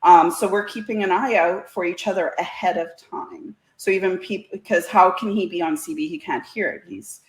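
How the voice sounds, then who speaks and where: A woman's voice: fairly low pitch, normal speed, few pauses. A woman in casual conversation as an interview guest, chatty and folksy, often breaking into laughter. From Canada. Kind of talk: casual chat. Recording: roomy, echoey sound.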